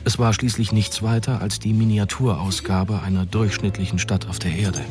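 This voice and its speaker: An actor playing a wry, detached narrator in a radio drama, speaking in a measured, literary, dry manner. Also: male voice, fairly low pitch, fairly even intonation, over music, lightly acted. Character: detached, wry male narrator of a dystopian story.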